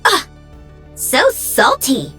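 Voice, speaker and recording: A woman's voice: very high voice, wildly swooping pitch, extremely slow, pushed and bright, faint music behind, full character voice. A woman voicing a perky, cocky young female pro-gamer mech pilot for a video game. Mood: sarcastic. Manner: bubbly, cocky, teasing.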